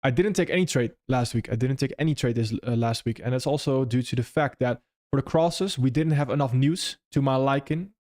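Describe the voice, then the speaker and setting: Young non-native English-speaking man, live-stream host answering chat, loose and rambling, mid-pitched voice. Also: brisk, hardly any pauses, a little mellow. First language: not English. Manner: loose and conversational, frequent restarts and "if that makes sense".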